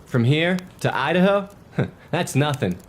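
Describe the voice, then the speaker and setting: Actor as by-the-book cavalry soldier, male, fairly high voice, matter-of-fact, admonishing, western drama, faint noise. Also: lively intonation, measured pace. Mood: confident. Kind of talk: historical dramatization dialogue.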